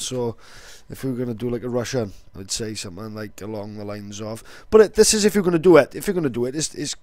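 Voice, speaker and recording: A man's voice: medium pitch, a little mellow, dry recording. A man with a Welsh accent, explaining things casually as an online video creator.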